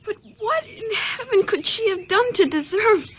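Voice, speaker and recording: A woman's voice: extremely high pitch, animated, normal speed, fairly bright tone. An actress playing an anxious, fearful young woman in a 1970s radio drama, with a pleading, theatrical delivery. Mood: uneasy. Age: young adult. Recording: muffled, hissy vintage radio recording.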